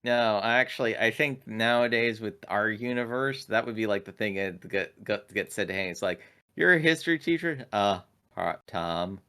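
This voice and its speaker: A man chatting casually as a player in a tabletop roleplaying game, explaining things in a halting way. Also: on the low side, sharp tone, smooth.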